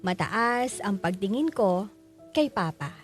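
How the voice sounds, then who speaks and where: A woman's voice: mid-range voice, lively intonation, takes it slowly. A woman voicing an earnest letter sender, narrating memories of an admired parent in a scripted radio drama. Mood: earnest, reflective. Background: background music.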